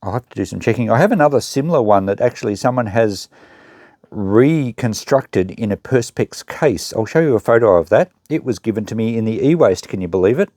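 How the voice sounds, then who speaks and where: A man's voice: fairly low pitch, animated, a little fast, a little mellow, no echo. Man, close dry sound, thinking aloud. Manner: musing, thinking aloud as he appraises items. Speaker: host of a vintage salvage and recycling channel. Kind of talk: casual unscripted show-and-tell to viewers.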